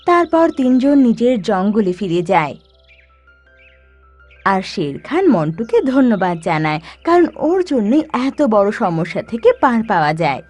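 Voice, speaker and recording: A woman narrating an animated children's story in an expressive, dramatic storytelling manner. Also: mid-range voice, measured pace, faint music behind.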